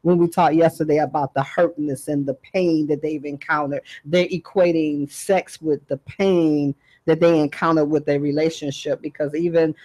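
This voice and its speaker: A woman's voice: sits low, steady tone, moderate pace, few pauses, dark tone, poor audio. A woman on a talk radio panel, earnest and persistent as she presses her argument. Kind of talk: casual studio talk.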